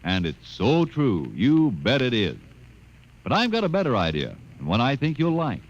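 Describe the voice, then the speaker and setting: A man delivering a folksy, emphatic commercial pitch as an old-time radio announcer. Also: huge pitch swings, moderate pace. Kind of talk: 1950s radio advertising pitch. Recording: dull vintage radio recording.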